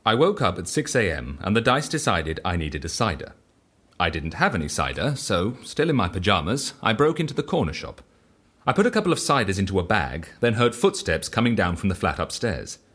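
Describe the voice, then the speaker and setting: A man on the run who has handed his life to chance. His voice sits low and swings widely with excitable zeal. He recounts outrageous deeds as plain fact, in audio drama style. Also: fairly quick, slightly rough, full character voice. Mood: earnest confession. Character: reckless, exhilarated male dice devotee on the run.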